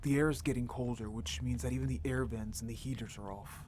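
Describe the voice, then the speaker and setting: An actor playing a reckless, excitable young rebel brother in a sci-fi audio drama, with casual, expressive, slangy delivery. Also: male voice, mid-range voice, fast, natural voice. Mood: concerned. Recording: clear background noise, ambience.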